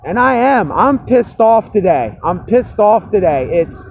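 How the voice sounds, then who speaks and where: A man with a hoarse, cracking voice vents to his camera, fired up, repeating himself and swearing freely. His voice sits high and turns gravelly. The recording is slightly muffled, with a faint hiss underneath. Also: very animated, measured pace. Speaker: vlogger. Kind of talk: angry personal rant to camera.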